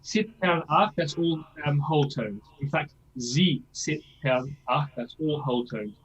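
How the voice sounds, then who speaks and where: A man's voice: a little high, a little slow, fairly bright tone, muffled recording. A man coaching a singer in a masterclass, explaining things and checking in with "yeah?" as he demonstrates lines himself. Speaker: vocal coach.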